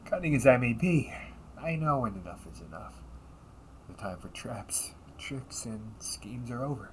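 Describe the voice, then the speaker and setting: A man voicing a cunning, condescending villain and older brother in an audio roleplay, taunting and theatrical, often dropping toward breathy near-whispers. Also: mid-range voice, lively intonation, unhurried, a little hiss or hum, close to an everyday voice. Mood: cold, self-righteous. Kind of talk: audio roleplay monologue addressed to the listener.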